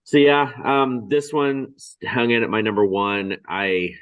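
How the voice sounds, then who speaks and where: A man hosting a board game discussion in casual, halting talk with trailing pauses. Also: medium pitch, fairly bright tone, clean tone, no echo, poor audio. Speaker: board game show host. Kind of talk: casual chat.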